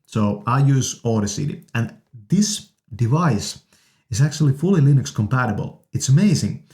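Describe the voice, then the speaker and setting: A man casually demonstrating audio gear as a video host, halting yet enthusiastic, recorded on studio condenser microphones. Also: mid-range voice, animated, darkish tone.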